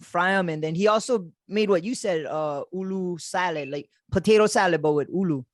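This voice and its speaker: A laid-back man with a high voice hosts a relaxed podcast chat, trading quick short reactions. The sound is dry and close, with no room echo. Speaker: podcast host. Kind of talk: casual interview.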